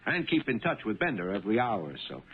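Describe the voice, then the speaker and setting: An actor playing an uneasy, honest working-class cab driver in a 1970s radio drama. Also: male voice, fairly low pitch, very animated, fast, breathy edge. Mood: eager. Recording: tinny, band-limited 1970s radio broadcast.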